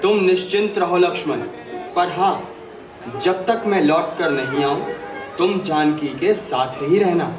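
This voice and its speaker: A noble, calm prince of mythological drama played by a man, declaiming slowly in a fairly high male voice. The recording is distant and echoey, with music behind. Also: lightly acted. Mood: calm, resolute. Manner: measured, declamatory. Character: noble, calm young exiled prince, resolute hero. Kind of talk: theatrical mythological audio drama.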